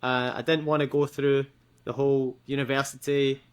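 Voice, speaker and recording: A young Scottish man speaks as a podcast guest. His talk is chatty and loose, full of fillers and quick laughs. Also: medium pitch, level tone. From Scotland. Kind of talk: casual interview.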